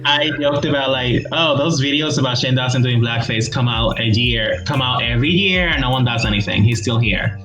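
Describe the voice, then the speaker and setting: A man from Puerto Rico with a Puerto Rican accent, chatting casually as a guest, animated and laughing often, with many "like" fillers. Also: mid-range voice, a little fast, few pauses, faint music behind, rough recording. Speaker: guest YouTuber. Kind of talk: casual video-call conversation.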